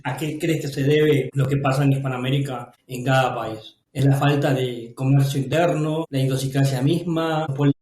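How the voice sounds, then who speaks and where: A man on the interviewing side asking an animated question that runs through a list of options. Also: medium pitch, normal speed, few pauses, a little hiss or hum. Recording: roomy echo.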